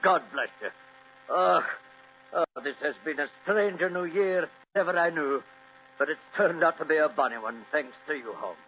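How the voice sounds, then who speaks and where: A man's voice: fairly high pitch, moderate pace, a little hiss or hum, lightly acted. An actor playing a crusty, wily old grandfather who likes a dram, in a 1940s style of radio drama. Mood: hearty, delighted. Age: older. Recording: dull, humming old radio recording.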